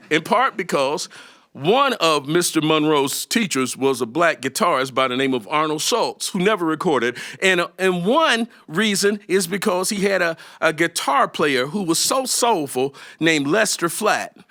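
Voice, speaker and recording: A man telling a story as a performer, in a folksy way with dramatic pauses and conversational asides, on the history of music. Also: fairly high pitch, lively intonation, pushed and bright, no room sound, studio quality.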